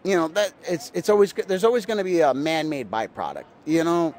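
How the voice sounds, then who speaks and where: A man's voice: on the high side, lively intonation, fairly quick, a little mellow. A man interviewed on a city street, rambling through his opinion and mimicking others mockingly. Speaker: passer-by interviewee.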